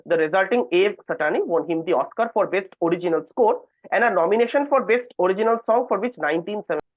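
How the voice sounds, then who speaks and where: Man, quizmaster, high-pitched, phone-like narrowband audio, reading out questions. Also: fast talker, no echo. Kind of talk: reading quiz questions aloud to contestants.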